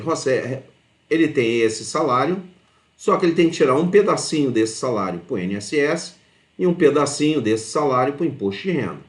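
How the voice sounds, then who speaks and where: The voice sits in an echoing room and belongs to a Brazilian man teaching informally. His intonation swings widely on a slightly raised pitch. He explains one step at a time and often tags his phrases with small check-in questions. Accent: Brazilian Portuguese. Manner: conversational, often checks understanding with short tag questions. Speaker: math teacher. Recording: roomy, echoing voice.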